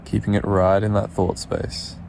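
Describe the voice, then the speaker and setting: A man guides a meditation in a low, mellow voice with a gravelly fry. He speaks soothingly and takes his time, leaving space between gentle instructions. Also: level tone, slight background noise. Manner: soothing, unhurried, with pauses.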